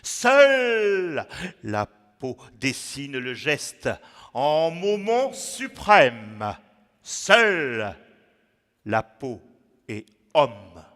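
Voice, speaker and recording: A man reciting his own poem theatrically, with dramatic pauses and sudden swings between hushed and loud delivery. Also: medium pitch. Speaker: poet performing his own text. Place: large echoing museum gallery, indoors. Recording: reverberant hall echo.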